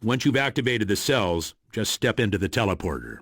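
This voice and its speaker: A tough agency boss giving game mission orders, husky voice, pretty animated pitch, authoritative. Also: male voice, fairly quick, no echo, full character voice. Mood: commanding. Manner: commanding, briefing, dry. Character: gruff, authoritative male spy agency commander. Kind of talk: video game mission briefing.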